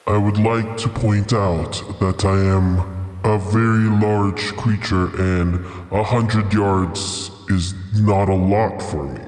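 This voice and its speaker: A man voicing a huge, polite dragon turtle who speaks in a measured, deadpan way and is reluctant to fight, in a tabletop game. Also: fairly low pitch, faint music behind, pitched below the performer's own voice. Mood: polite, reasoning. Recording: echoey hall-like reverb on voice.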